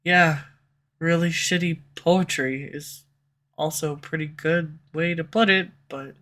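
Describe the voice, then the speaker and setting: A woman voicing a sharp-tongued, tsundere female villain who swears and speaks sarcastically, recorded close on a studio condenser mic. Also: low voice, steady tone, a little slow. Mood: confessional. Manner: sarcastic, swears, turns confessional. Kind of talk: audio roleplay. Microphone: studio USB condenser mic.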